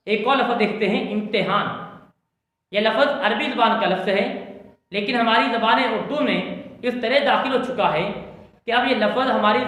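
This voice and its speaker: A man with a Pakistani accent delivers a formal lesson to students in a notably high voice. He is oratorical and deliberate, often repeating phrases for emphasis. The sound is heavily echoing and reverberant. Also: a bit bright. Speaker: Urdu language and literature teacher.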